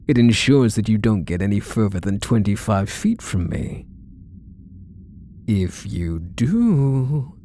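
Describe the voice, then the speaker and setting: Man acting a soft, possessive yandere husband. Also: fairly low pitch, creaky, slight background noise, exaggerated voice. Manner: cooing, coaxing, calls the listener pet names. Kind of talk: ASMR roleplay audio drama, intimate monologue to the listener.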